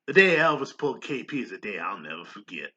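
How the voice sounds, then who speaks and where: A man playing a folksy army staff sergeant and mess cook, telling his story in a theatrical monologue with pauses and emphatic swells. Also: fairly low pitch, big pitch swings, pushed and bright. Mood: folksy, nostalgic. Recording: dull, lo-fi sound.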